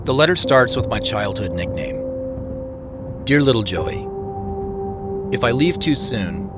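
An actor playing a thoughtful, nervous man, narrating an audio drama in the first person in a measured, intimate way. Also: medium pitch, very animated. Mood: reflective, then solemn reading. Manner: measured, reflective, intimate storytelling. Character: Joseph, thoughtful, nervous man retracing family memories. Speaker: narrator and lead character. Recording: music under the voice.

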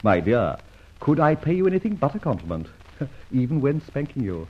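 An actor playing a suave, secretive gentleman adventurer in an old radio drama, with polished, formal, wryly teasing speech. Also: medium pitch, very animated, normal speed, breathy edge. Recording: muffled old radio recording, background hiss.

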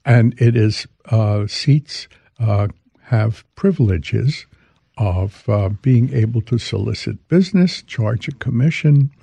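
A man in his eighties from Hungary, speaking haltingly as he reminisces as an interview guest. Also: on the low side, expressive, creaky, dark tone, slightly rough, no room sound. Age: 80s. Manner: halting, hesitant delivery. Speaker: interview guest, veteran commodities exchange trader.

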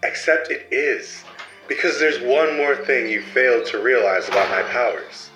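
An actor playing a cold, secretive male agent who edits code with his powers, speaking calmly and dismissively in an audio drama. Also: mid-range voice, moderate pace, echoey room, music in the background, lightly acted, poor audio. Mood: smug. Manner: calm, dismissive, condescending.

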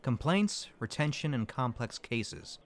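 This is a man playing a paranoid, brash employee. He is blunt and grumbling at a middling pitch that swings a lot, in audio drama style. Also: very animated, unhurried. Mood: defensive. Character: brash, defensive, paranoid call-centre employee.

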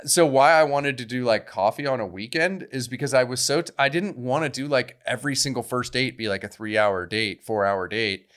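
A man's voice: mid-range voice, brisk, few pauses, fairly bright tone. A man co-hosting a podcast in casual banter, wry and mock-incredulous, with some casual swearing. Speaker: podcast co-host.

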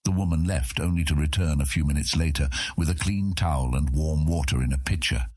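A man with a husky voice reading scripted fantasy narration as an audiobook narrator. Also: extremely low pitch, fairly quick, darkish tone, no room sound.

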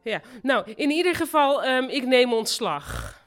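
Earnest young idealist, higher-pitched. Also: female voice, very animated, a little slow, soft background music, heavily acted. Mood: earnest, uneasy. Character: earnest, self-righteous young idealistic woman who narrates. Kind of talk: live audio drama narration and acting.

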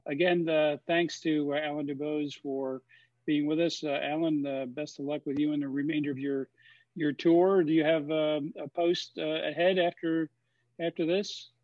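A man hosting a question-and-answer session, speaking haltingly with many fillers, as if over a video call. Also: on the high side, little pitch movement, dry recording. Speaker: host of a webinar Q&A. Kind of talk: casual moderated Q&A. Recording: dry, dull lo-fi sound.

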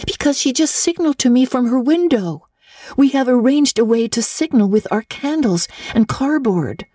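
A woman's voice: high voice, lively intonation, normal speed, dark tone, no room sound, clean studio sound, pitched above the performer's own voice. A woman voicing an eager, imaginative young girl in a storybook reading, breathless, gushing and earnest as she pleads. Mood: eager, urgent. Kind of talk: dramatic audiobook reading of classic literature.

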